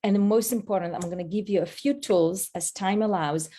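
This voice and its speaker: A woman in her forties from Israel with an Israeli accent, giving a live online talk as a guest speaker, lively and direct as she chats with the audience over a video call. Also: medium pitch, moderate pace.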